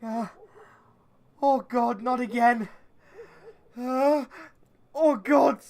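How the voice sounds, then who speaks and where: A man's voice: very high-pitched, very slow, vocal fry, full character voice. A man playing a laid-back, good-natured young storyteller in a comedy fantasy audio drama, rambling and sweary in colloquial talk. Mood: pained, whining.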